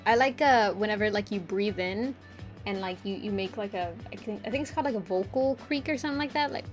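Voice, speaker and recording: Young woman, casual stream chat, medium pitch, giggly, rambling, quiet music behind. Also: quick pace. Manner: rambling, lots of "like" fillers; giggly, playful voice play.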